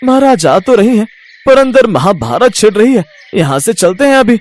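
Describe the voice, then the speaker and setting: Guy doing a scared little sidekick clerk in a story cartoon, high voice, pleading with the boss. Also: very animated, moderate pace, a little mellow. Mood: nervous, pleading. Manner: deferential, pleading. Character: timid, reluctant male celestial clerk serving death's god. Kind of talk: animated Hindi moral-story voice acting.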